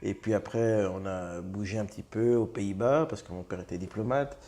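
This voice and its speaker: A Belgian man in his thirties, a comedian guest in a casual interview, speaking with many pauses and fillers and a self-mocking humor. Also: on the low side, warm tone. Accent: Belgian French.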